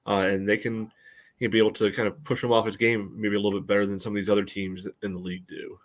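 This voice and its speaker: Low-voiced man analysing a match casually. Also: level tone, quick pace. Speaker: soccer podcast co-host.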